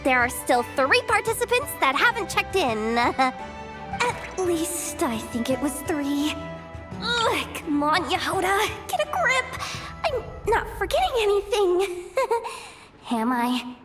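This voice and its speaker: An actress playing a frazzled, overwhelmed young event coordinator in an anime-style video game, flustered and chatty. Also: female voice, very high-pitched, big pitch swings, slow, full character voice. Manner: flustered, chatty, self-doubting. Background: background music.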